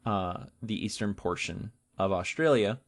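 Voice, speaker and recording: A man co-hosting a podcast, explaining natural history in a casual, informal and jokey way with drawn-out emphasis on key terms. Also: on the low side, creaky. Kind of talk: casual explanatory talk with a co-host.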